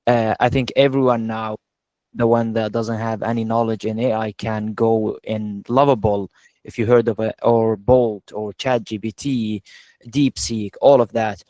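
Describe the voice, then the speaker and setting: Man from Syria, fairly even delivery. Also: lowish voice, unhurried, dry recording. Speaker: guest speaker, software engineer. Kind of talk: informal webinar talk. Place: online video call.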